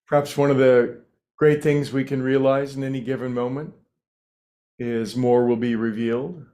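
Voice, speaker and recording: A man leading a guided meditation, speaking in short, unhurried phrases with pauses between them. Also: medium pitch, fairly even intonation, warm tone.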